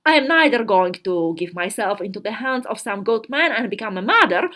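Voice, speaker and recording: A woman giving a dramatic literary reading of a diary, theatrical and deliberate, pausing between short phrases. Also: medium pitch, expressive, pushed and bright. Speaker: reader of a literary story.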